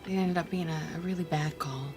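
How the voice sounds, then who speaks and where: A woman's voice: low-pitched, brisk, natural voice. Woman playing a hesitant, earnest young sorceress over music. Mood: troubled, earnest. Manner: hesitant, searching, trailing phrases. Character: earnest, troubled, soft-hearted young sorceress. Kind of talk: in-character tabletop roleplay.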